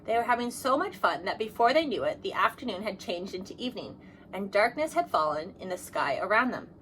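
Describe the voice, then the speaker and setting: A woman narrates a children's picture book aloud. Her voice sits at an average pitch and she reads expressively. There is noticeable noise behind her. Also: a little fast, rough recording. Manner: expressive, acts out dialogue. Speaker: storybook reader.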